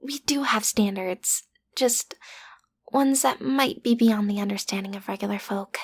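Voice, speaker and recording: A woman's voice: mid-range voice, measured pace, slightly rough. A woman playing a cute, easily flustered teenage villain's daughter in an audio roleplay, stammering earnestly as she tries to seem intimidating. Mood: awkward, earnest.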